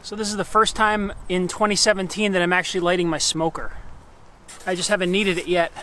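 High-voiced man narrating his beekeeping outdoors. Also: brisk, over music. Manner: talks to himself while working, calm. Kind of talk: casual hands-on narration. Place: outdoor farm apiary.

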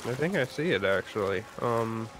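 Lowish-voiced male gamer over noisy game ambience. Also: lively intonation, normal speed. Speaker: co-player. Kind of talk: casual game chat.

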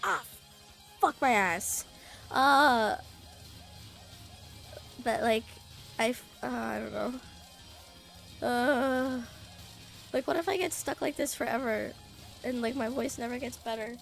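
A raspy, under-the-weather young woman chats through a game stream in a high voice, taking her time over her words. She hums little la-la tunes, curses at her keyboard and teases her chat. Faint game music plays underneath. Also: slow, long pauses, fairly bright tone. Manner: sings la-la to herself, swears, jokes with chat. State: sick, raspy voice. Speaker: VTuber streamer.

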